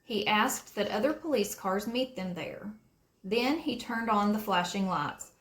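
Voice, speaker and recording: A woman reading a children's storybook aloud in a deliberate, expressive storytelling manner. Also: mid-range voice. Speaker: storybook reader. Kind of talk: read-aloud narration for children. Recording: roomy, echoing sound.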